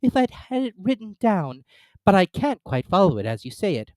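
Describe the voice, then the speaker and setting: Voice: medium pitch, sing-song, normal speed, a little mellow, dry recording, voice pushed up, natural voice. A reader voicing a polite, cautious young girl who speaks carefully and a little primly.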